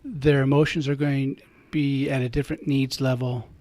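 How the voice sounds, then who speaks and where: A man's voice: mid-range voice, level tone, moderate pace. A man, a priest who hosts a radio talk show, chatty and joking as he wraps up with thanks and plugs. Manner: chatty, jokey, warm sign-off.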